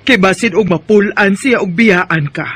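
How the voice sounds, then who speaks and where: Husky, high-pitched concerned parent, acted by a man. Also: unhurried, a bit bright, heavily acted. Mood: gently admonishing. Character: concerned parent gently advising a grown son. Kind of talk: Filipino radio drama acting in Bisaya.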